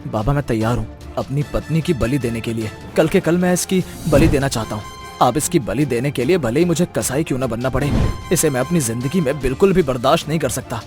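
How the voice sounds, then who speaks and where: A man's voice: medium pitch, brisk, a little mellow, faint music behind, lightly acted. A man voicing husbands and schemers in a melodramatic cartoon moral story, with an emphatic, theatrical delivery. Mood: grimly resolved. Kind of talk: dramatic cartoon story dialogue.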